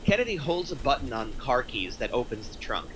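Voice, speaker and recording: A man's voice: mid-range voice, sing-song, a little fast, fairly bright tone, slight background noise. A man narrating the stage directions of a script at a table read.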